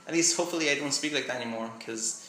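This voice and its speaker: A man's voice: medium pitch, very fast, sharp tone, reverberant, slight background noise, low-quality recording. A young man from Miami with a slight Miami accent, chatting casually in a vlog. He rambles and trails off with "I don't know.". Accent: slight Miami English. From Miami, USA. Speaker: vlogger. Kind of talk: casual vlog chat, short read-aloud passage.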